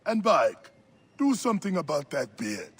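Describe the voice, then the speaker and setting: A man's voice: a little high, sing-song, measured pace, fairly bright tone, breathy edge, heavily acted. An actor playing a jovial older man who teases and welcomes his friend. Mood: friendly. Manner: friendly, teasing, hearty. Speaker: village elder and friend.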